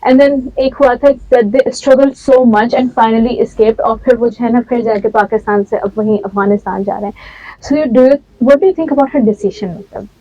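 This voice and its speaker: A woman's voice: on the high side, fairly even intonation, rapid-fire, mellow, dry recording. A woman hosting a book podcast, asking long rambling questions and switching between Hindi and English. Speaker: podcast host. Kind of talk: casual book discussion. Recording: slightly dull lo-fi sound.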